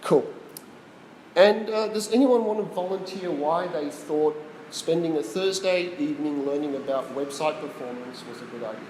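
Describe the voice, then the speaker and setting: A man's voice: a little high, background noise. A man with an Australian accent giving a casual tech talk to a small live audience, chatty and quizzing the room. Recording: roomy echo with long reverb.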